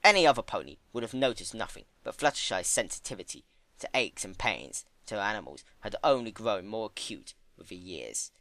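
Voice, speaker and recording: A man's voice: fairly low pitch, big pitch swings, a little fast, sharp tone, no room sound. A man narrating a story as a dramatic scripted reading.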